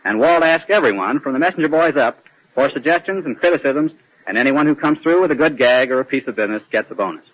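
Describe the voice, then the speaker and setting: A man's voice: mid-range voice, lively intonation, quick pace, a bit bright. A man giving formal, earnest explanations as a guest in a prepared 1940s radio interview. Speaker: animation director guest. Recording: dull vintage 1940s radio broadcast.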